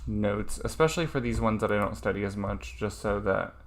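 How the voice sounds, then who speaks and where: Casual streamer, man with a lowish voice, mulls things over aloud and hesitates a lot. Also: fairly quick. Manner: thinking aloud, hesitant with many ums. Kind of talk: casual livestream study session.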